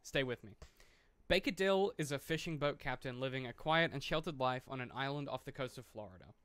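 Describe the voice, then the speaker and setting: A man's voice: medium pitch, brisk, a bit bright, no echo. A man co-hosting a film podcast, talking casually in a dry, rambling way. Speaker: podcast co-host. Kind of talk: casual film discussion, sometimes reading a plot summary.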